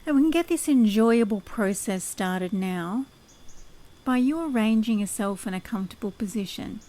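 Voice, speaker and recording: A woman leading guided sleep hypnosis in a soothing, unhurried, measured manner. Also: mid-range voice, expressive, mellow.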